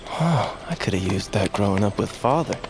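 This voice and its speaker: An actor playing a proud, irreverent young grandson on a journey in a fantasy audio drama, with a sardonic, teasing manner. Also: male voice, on the low side, expressive, normal speed, gravelly. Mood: teasing, curious. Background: music behind the voice.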